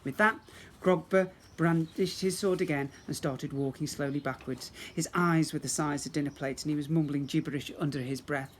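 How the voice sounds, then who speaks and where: A man's voice: on the high side, steady tone, normal speed. A man narrating a children's story expressively, as a teacher reading to young pupils. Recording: faint background noise.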